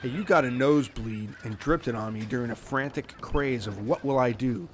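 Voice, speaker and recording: A man's voice: mid-range voice, normal speed, a little hiss or hum, close to an everyday voice. A man playing a blunt, scornful, unimpressed castaway in a comedy audio drama.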